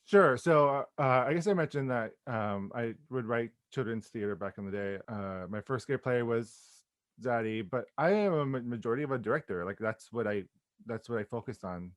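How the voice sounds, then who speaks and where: A man's voice: medium pitch, moderate pace, no echo. A man chatting casually and effusively in an interview as a playwright and director, with informal slangy phrasing.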